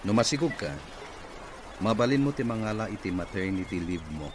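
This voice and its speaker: A man's voice: on the low side, lively intonation, brisk, close to an everyday voice. A man acting a blunt, teasing company boss in a radio comedy drama, with an Ilocano accent. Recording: clear background noise.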